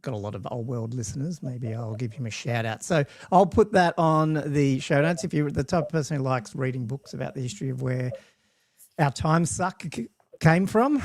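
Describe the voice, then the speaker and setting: A man's voice: mid-range voice, creaky, a little mellow, no room sound, clean studio sound. A man with an Australian accent, hosting a chatty tabletop gaming podcast in a rambling, laughing way. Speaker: podcast host. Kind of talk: casual podcast chat.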